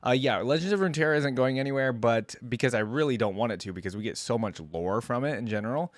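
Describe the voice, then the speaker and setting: A man's voice: medium pitch, expressive, quick pace, no room sound. A man hosting a reaction video, commenting casually and thinking aloud in informal language full of "like".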